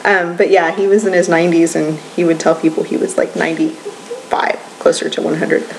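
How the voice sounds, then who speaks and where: Twentysomething woman vlogging from home, kinda low voice, lots of ums and giggles, faint hiss behind. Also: steady tone, brisk, rough recording. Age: 20s. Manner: rambling, lots of ums and soft laughs. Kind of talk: casual chatty vlog.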